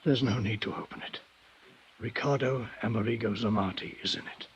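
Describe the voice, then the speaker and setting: An actor playing a nervous, flustered violin maker in a 1950s radio drama, stammering and pleading. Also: male voice, fairly low pitch, fairly quick, breathy edge, highs cut off. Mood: desperate. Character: nervous middle-aged male violin maker. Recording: old radio broadcast with music.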